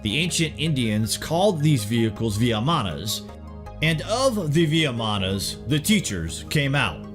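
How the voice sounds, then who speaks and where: A man's voice: mid-range voice, big pitch swings, unhurried, over music. A man delivers scripted documentary-style narration in a dramatic way, posing rhetorical questions straight to the listener.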